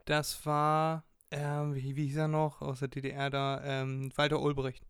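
A man's voice: a little high, completely flat delivery, a little slow, a bit bright, clear, resonant tone, no echo, clean studio sound. A man co-hosting a casual podcast, explaining things and joking while guessing quiz answers. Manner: joking, plays along, guesses. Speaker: podcast co-host.

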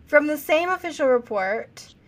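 A woman's voice: sits high, lively intonation, moderate pace. A young adult woman co-hosting a casual podcast, reading from reports and asking earnest questions. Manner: earnest, questioning, reads quotes aloud. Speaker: podcast co-host.

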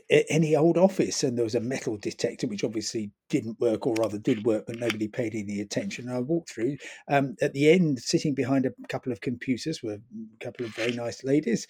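A man's voice: mid-range voice, gravelly, a little mellow, breathy edge. A man from London giving a wry, self-deprecating travel report, with frequent ums. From London, England.

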